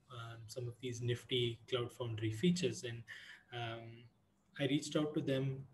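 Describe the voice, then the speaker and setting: A man's voice: mid-range voice, rough recording. A man hosting an online community call in a casual, informal and somewhat hesitant, rambling way. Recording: online call with clear background noise.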